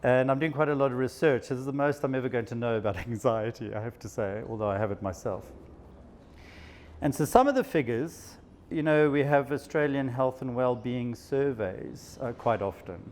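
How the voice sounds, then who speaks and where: A man's voice: medium pitch, moderate pace, a little mellow. A man from South Africa with a South African accent, a psychiatrist giving a casual, self-deprecating talk to a live audience. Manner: chatty, self-deprecating, with frequent laughs. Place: event room with an audience.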